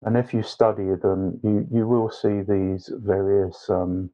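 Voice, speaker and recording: Male painting instructor, lowish pitch, slow hesitant step-by-step delivery, slightly muffled recording. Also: steady tone, warm tone. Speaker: watercolour tutor. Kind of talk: instructional painting tutorial narration.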